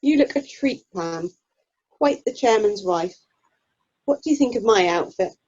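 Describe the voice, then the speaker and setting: Actress as down-to-earth British club lady: soft-toned, easygoing, kindly chat, dull lo-fi call audio, amateur radio play. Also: medium pitch, lively intonation, a little slow, husky. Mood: chatty. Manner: easygoing, complimentary. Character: kindly, chatty, down-to-earth drama club woman. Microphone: video call. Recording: muffled lo-fi video call audio.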